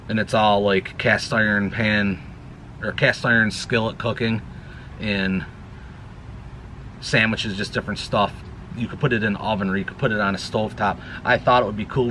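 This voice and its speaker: A chatty man with a bright, forceful voice talks through a loose channel update, stopping often to rephrase himself. He is recorded outdoors on action cameras, with faint noise in the background. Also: mid-range voice. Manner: rambling, self-correcting, chatty. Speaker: YouTube vlogger. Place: outdoors near a woodpile.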